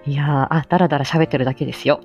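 A woman with a mature, low-set voice hosting a casual solo live stream, rambling in hesitant, self-effacing phrases with frequent small chuckles. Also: fairly even intonation, very fast, darkish tone. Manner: chuckles often between phrases. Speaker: live stream host and amateur voice actor. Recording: dull lo-fi audio, faint music behind.

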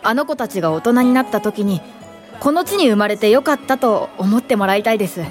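Actress as a higher-voiced, polite young female assistant. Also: expressive, a little mellow, close to an everyday voice. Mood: sincere hope. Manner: polite, measured, sincere. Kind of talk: radio drama acting with reflective narration. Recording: studio radio drama over clear music.

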